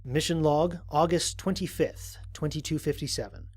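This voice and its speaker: A man playing a thoughtful, wary space explorer, narrating scripted mission logs in a measured, articulate, reflective way. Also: on the high side, darkish tone, lightly acted. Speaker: narrator of a fan audio drama. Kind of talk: scripted first-person mission log narration.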